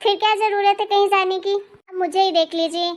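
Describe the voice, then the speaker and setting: A woman voicing an irritable married daughter in an animated family story, sharp, bossy and sarcastic. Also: very high-pitched, normal speed. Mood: bossy, complaining. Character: irritable, bossy married daughter visiting her parents' home.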